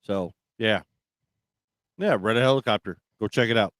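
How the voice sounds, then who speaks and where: A man's voice: lowish voice, normal speed, no room sound, studio quality. A man co-hosting a talk show, chatting casually and giving opinions in a blunt, profane way. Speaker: talk radio co-host.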